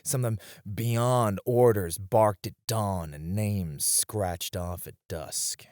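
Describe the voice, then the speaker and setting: Guy playing a tired soft spoken knight, deep voice, super slow, tender n wistful, asmr romance roleplay. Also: no room sound, studio quality. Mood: tender, musing. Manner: soft, intimate, hesitant with small chuckles. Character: battle-weary male knight, tender, wistful, quietly devoted comrade.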